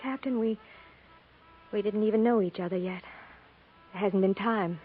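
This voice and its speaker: An actress playing a fearful, grieving young frontier wife in a 1950s radio western, speaking hesitantly with a tremor. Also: female voice, medium pitch, normal speed, phone-quality sound, natural voice. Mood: stunned grief. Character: frightened, grieving young frontier wife, new to the hard life. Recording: scratchy, phone-thin 1950s radio recording.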